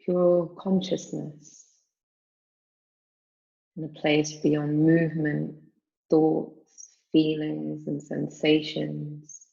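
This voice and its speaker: Slow mellow woman doing guided meditation, calm n soothing, bit creaky, muffled lo-fi recording. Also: deep voice. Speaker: yoga nidra meditation teacher. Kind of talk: guided meditation, spoken slowly with long pauses.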